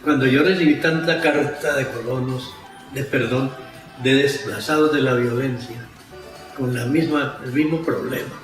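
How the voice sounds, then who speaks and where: A man's voice: medium pitch, steady tone, moderate pace. An older Colombian man telling stories from his past in a conversational interview style. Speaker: interviewee, former rural radio broadcaster. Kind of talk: conversational storytelling reminiscence. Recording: very echoey, faint music behind.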